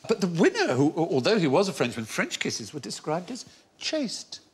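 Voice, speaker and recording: A middle-aged man hosting a comedy quiz panel, quick and animated and laughing often. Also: sits high. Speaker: quiz show host. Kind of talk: comedy panel show banter. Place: TV studio with live audience.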